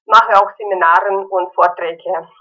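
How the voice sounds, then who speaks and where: A woman with a Russian accent, a Russian native speaker, speaking earnestly as a spiritual healer in an interview, with many fillers and pauses. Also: medium pitch, steady tone. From born in Kazakhstan, raised in Ukraine. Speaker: spiritual healer and seminar teacher. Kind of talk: interview guest explaining her views. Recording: muffled phone line.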